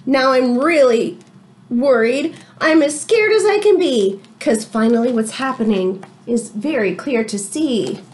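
Woman acting out an anxious, high-pitched little boy. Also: animated, a little mellow. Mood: horrified, panicking. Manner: dramatic, drawn-out words, rising alarm. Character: anxious little boy, easily horrified storybook narrator. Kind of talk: rhyming picture-book read-aloud.

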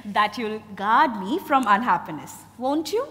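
Woman as passionate, high-pitched Indian young woman. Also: big pitch swings, unhurried, pushed and bright, heavily acted. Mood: heated. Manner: theatrical, emphatic. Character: passionate, insistent young daughter in love. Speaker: actress in a staged reading. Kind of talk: dramatized stage reading. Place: on stage in a large hotel ballroom. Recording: echoey ballroom stage, noisy background.

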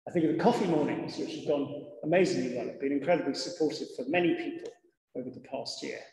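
A middle-aged man, a vicar giving a sermon in a measured, reflective manner with deliberate pauses. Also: on the high side, a little mellow. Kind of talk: sermon to a congregation. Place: large church interior, indoors. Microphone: lectern gooseneck microphone. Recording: echoey church hall with long reverb.

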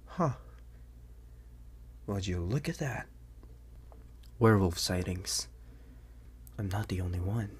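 A young man playing an anxious, bewildered teenage boy turning werewolf in an ASMR audio roleplay, speaking haltingly in a husky, breathy half-whisper. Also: low-pitched, lively intonation, a little hiss or hum. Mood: uneasy, resigned.

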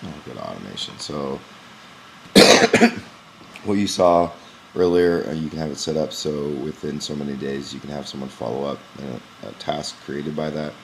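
A young man who runs a gym, walking through a software screen recording in a casual, explanatory way with frequent "um" pauses, recorded on a webcam at his desk. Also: very deep voice, animated, creaky, background noise. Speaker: gym owner demonstrating software. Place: small indoor room at a computer. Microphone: webcam in front of the face.

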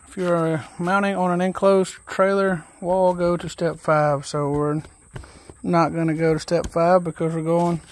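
Relaxed DIY man, unhurried and folksy, reading instructions aloud outdoors on a phone. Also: fairly high pitch, slight background noise. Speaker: DIY video host. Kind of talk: casual step-by-step assembly walkthrough. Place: outdoors on a wooden deck.

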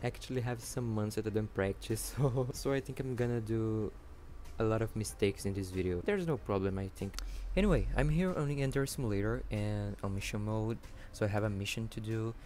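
A Brazilian man with a Portuguese accent, a native Portuguese speaker, giving casual gameplay commentary in halting English and searching for words. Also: lowish voice, dark tone, slight background noise. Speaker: gaming channel host.

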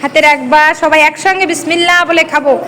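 A woman's voice: high-pitched, fast, bright, forceful tone. A woman with a Bangladeshi accent, a schoolteacher chatting casually with young children, coaxing and directing them and often asking questions. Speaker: schoolteacher hosting a class party. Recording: noisy room with children's chatter.

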